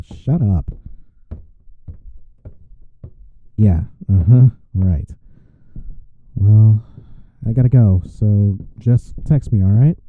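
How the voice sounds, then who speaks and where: A man playing a devoted, gentle boyfriend in an intimate comfort audio roleplay, soft-spoken and reassuring. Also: lowish voice, phone-quality sound. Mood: casual, warm.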